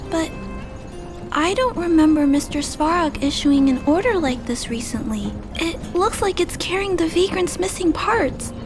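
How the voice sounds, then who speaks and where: Little girl, very high voice, slow pace, gentle, hesitant, compassionate, video game character, music behind. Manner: hesitant, soft-hearted, thoughtful. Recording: music under the voice.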